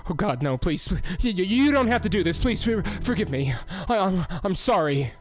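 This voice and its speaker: A man as panicky selfish mayor, lively swinging voice, shouting then begging, faint music. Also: sits high, moderate pace. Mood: pleading. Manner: shouting, pleading, frantic. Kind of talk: audio drama acting.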